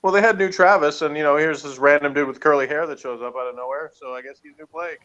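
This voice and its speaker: A man's voice: fairly high pitch, fast, fairly bright tone, dull audio. A man co-hosting a casual TV episode review, sarcastic and mock-exasperated in his manner.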